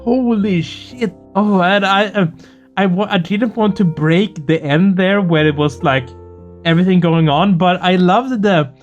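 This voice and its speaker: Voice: mid-range voice, a little slow, muffled recording, faint music behind. A gaming video host chatting casually and effusively, earnest and prone to swearing. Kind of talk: casual excited chat after a game playthrough.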